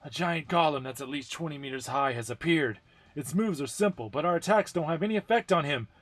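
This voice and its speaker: Man acting an urgent, forceful young squad commander. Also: fairly high pitch, expressive, brisk, dry recording, pitched above the performer's own voice. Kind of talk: dramatic amateur reading of game story.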